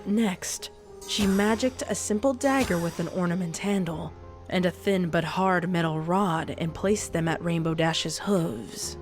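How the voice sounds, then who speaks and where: A woman's voice: lowish voice, measured pace. A woman reading scripted fan fiction as a dramatic story narrator. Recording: narration over soft music.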